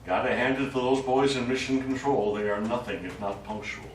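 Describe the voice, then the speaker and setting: A man's voice: mid-range voice, fairly even intonation, brisk, lightly acted. A man on stage playing a wry, wistful astronaut who is secretly an alien with a scheme. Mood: musing, quietly satisfied. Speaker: stage actor in a one-act play. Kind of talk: live stage drama monologue. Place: small indoor studio theatre stage. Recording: echoey small theatre, distant mic, faint noise.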